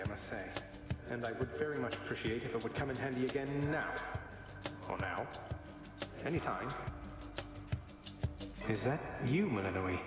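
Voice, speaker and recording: A dry, wry young underworld prince, his lowish voice drenched in echo. It rings with long, dreamlike reverb, as in a mythic game. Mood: puzzled, searching. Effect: heavy dreamlike echo and reverb. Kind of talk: scripted game acting. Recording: very echoey, long hall reverb.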